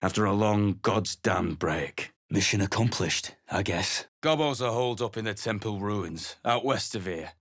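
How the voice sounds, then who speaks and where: An actor playing a surly, battle-worn mercenary adventurer in a video game, speaking in a gruff, blunt, dismissive way. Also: male voice, lowish voice, normal speed, few pauses, fairly bright tone, no room sound, clean studio sound.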